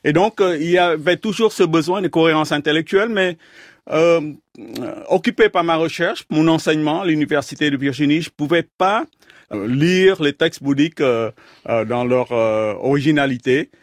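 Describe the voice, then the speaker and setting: A man from Vietnam, a scientist guest in a radio interview, explaining his ideas in a measured way, pausing often to search for words. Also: a little high, no room sound, clean studio sound. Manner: measured, thoughtful, halting with many fillers. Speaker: guest, astrophysicist.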